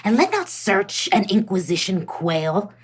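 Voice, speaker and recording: A woman's voice: medium pitch, sing-song, unhurried, slightly rough. A woman playing an angry, commanding duke in a Shakespearean radio play, speaking formally and imperiously. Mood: angry, impatient. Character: angry, commanding usurping duke, stern ruler.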